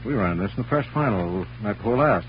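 A man plays a wisecracking, hard-driving male newspaper editor in vintage radio drama style. The voice is on the low side. The recording is dull and carries a faint hum. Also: expressive, brisk, natural voice. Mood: breezy. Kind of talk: 1940s radio drama acting. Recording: muffled, humming old radio recording.

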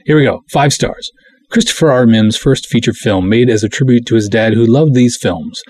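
A man hosting a podcast solo in a casual, rambling, self-deprecating way, chatting and reading things aloud with a husky voice. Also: mid-range voice, moderate pace, a little mellow, no echo. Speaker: podcast host.

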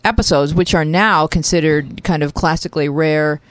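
A middle-aged woman co-hosting a podcast in a studio, talking in a quick, chatty and playful way. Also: low voice, no room sound. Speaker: podcast co-host. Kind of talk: casual chat. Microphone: studio microphone.

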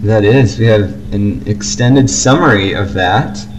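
A man's voice: on the low side, measured pace, noisy background. A young man chatting casually as co-host of a fan podcast, on an amateur home recording.

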